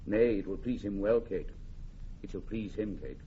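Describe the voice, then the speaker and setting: A man's voice: lowish voice, normal speed, warm tone, close to an everyday voice. An actor playing a bold, plain-spoken English warrior king who woos ardently, in classic Shakespearean radio drama style. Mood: playful, persuasive. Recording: muffled old radio recording, faint noise.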